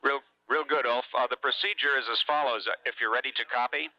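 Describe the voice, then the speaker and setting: A man's voice: mid-range voice, fairly even intonation, fast. Male mission controller on dull radio. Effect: two-way radio. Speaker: ground mission operations controller. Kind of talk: procedural radio instructions.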